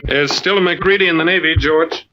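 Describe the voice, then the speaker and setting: An actor playing a middle-aged Navy medic in an old-time radio drama, blunt but sympathetic, speaking gravely and in a measured way. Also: male voice, fairly high pitch, noisy background, close to an everyday voice. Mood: firm, urging. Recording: dull, dry old radio recording.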